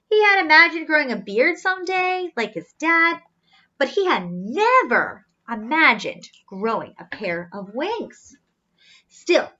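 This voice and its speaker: A woman's voice: sits high, dull audio. A woman reading a children's story aloud expressively, adding simple questions and asides for young listeners. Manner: expressive, questioning, with gasps and drawn-out emphasis.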